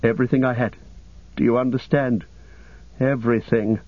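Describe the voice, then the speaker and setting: A man's voice: fairly low pitch, animated, unhurried, slightly rough, no echo, phone-quality sound. A man voicing a wounded, despairing young hobbit in an audiobook, anguished and breathless, veering from weary to frantic. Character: wounded, despairing, feverish young male ring-bearer hobbit.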